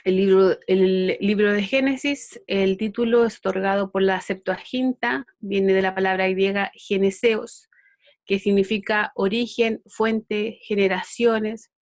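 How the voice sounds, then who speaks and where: A middle-aged woman with a Chilean accent giving an online Bible lesson, unhurried and full of fillers and restarts. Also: medium pitch, fairly even intonation, no room sound, clean studio sound. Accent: Chilean Spanish. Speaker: Bible school teacher.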